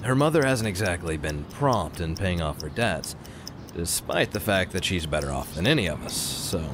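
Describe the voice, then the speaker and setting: Low-voiced, weary, reluctant actor as gangster man. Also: animated, normal speed. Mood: reluctant, grave. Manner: hesitant, apologetic, throat-clearing, conversational. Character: weary, reluctant crime-gang family man giving orders. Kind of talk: naturalistic radio play acting. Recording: clear ambient noise, ticking clock.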